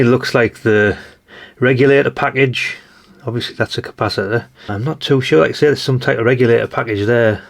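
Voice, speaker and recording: Man, British accent, creaky gravelly voice, chatty, rambling, informal hobbyist vlog narration. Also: mid-range voice. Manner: chatty, rambling, thinking aloud. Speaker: hobbyist radio channel host. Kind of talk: casual hands-on teardown commentary.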